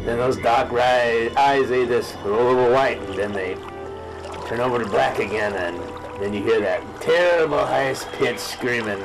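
A man's voice: medium pitch, a little slow, over music, natural voice, rough recording. A man playing a grizzled fisherman in a parody radio drama, telling his grim tale in a folksy, grave way. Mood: grave, haunted. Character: grizzled veteran muskie fisherman recounting a grim ordeal, spoofing a salty sea hunter.

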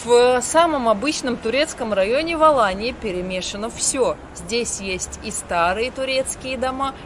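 A middle-aged woman host presenting in a measured, friendly way, standing outdoors on a balcony and a street, filmed from a few steps away. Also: a little high. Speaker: show host. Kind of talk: scripted presenting. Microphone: camera at medium distance. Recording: outdoor street noise behind.

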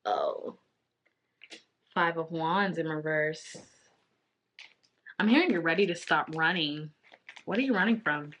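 A woman in her thirties, a little raspy from long talking, giving a casual tarot reading to the camera from a small home office. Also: sits low, fairly bright tone. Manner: intuitive, repetitive, drawn-out card naming. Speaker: tarot reader.

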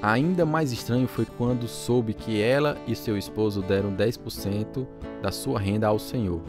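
A man's voice: on the low side, normal speed, close to an everyday voice. An actor playing an earnest young man telling his conversion story in an audio drama. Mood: reflective. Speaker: narrator and lead actor. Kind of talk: audio drama narration and acting. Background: guitar music.

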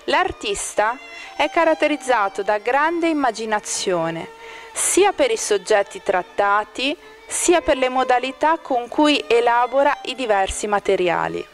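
A woman's voice: on the high side, animated, faint music behind. Woman reading formal art commentary. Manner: measured, formal reading of critical text. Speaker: exhibition narrator. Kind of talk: scripted art-critic narration.

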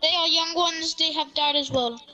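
Very high-pitched female gamer, noisy chat. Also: normal speed, pushed and bright. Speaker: player in a game roleplay. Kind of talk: improvised roleplay over online game chat.

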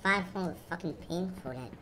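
A woman's voice: lowish voice, moderate pace, heavy background noise. A non-native English-speaking woman giving casual, rambling, sweary gameplay commentary, repeating phrases, her voice run through a voice changer. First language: not English. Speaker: gamer playing and commenting. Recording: music playing behind the voice. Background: loud game music and sounds.

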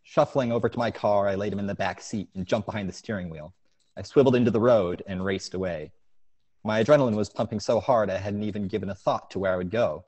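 A man's voice: lowish voice, fast talker, darkish tone, lo-fi sound. A young man speaking as an author at an online reading of his short story, recorded on an earphone mic at home. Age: young adult. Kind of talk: informal talk and story reading at an online event. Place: living room at home, video call. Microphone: wired earphone mic on a video call.

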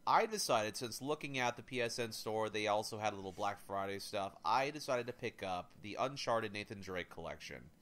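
A man co-hosting a casual gaming podcast, rambling excitedly and thinking out loud as he adds up prices. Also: fairly low pitch, brisk, few pauses. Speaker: podcast co-host.

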